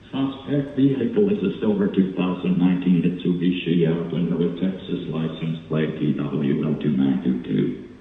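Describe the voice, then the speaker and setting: A synthetic man's voice reading out an automated emergency alert in a flat, stilted way. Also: low-pitched. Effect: synthetic text-to-speech voice. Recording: phone-like narrowband, distant, echoing, faint noise.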